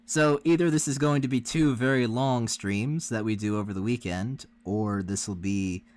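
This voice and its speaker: A man's voice: mid-range voice, normal speed, a little mellow. A man co-hosting a reaction livestream, chatting casually with the viewers. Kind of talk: casual chat with stream viewers.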